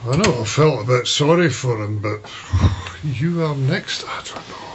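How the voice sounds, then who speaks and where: A man's voice: medium pitch, expressive, a little slow, lightly acted. Hesitant older Scottish lawyer, played by a man. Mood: puzzled. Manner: hesitant, musing. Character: forgetful older Scottish father, a lawyer, vague and pensive. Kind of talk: naturalistic radio drama acting. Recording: dull sound, faint background noise.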